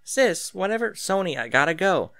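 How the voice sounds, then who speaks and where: A man voicing a brisk, protective teenage sister who is a determined sniper, in an audiobook story. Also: high-pitched, sing-song, fairly bright tone, no echo, voice pushed up, exaggerated voice. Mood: rushed, evasive. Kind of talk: acted audiobook dialogue.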